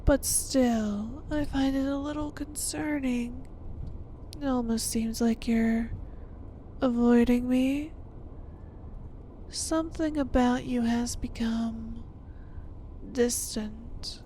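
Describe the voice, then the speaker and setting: A woman playing a sweet, possessive, obsessed wife with quiet menace, cooing and coaxing in a roleplay ASMR style. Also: on the high side, fairly even intonation, slight background noise, low-quality recording. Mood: reproachful, suspicious. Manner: cooing, saccharine, coaxing, drawn-out delivery.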